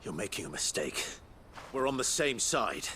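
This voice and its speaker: An actor playing a gruff, terse young swordsman hero in a video game, with a measured, serious delivery. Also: lowish voice, big pitch swings, heavy background noise. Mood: stern.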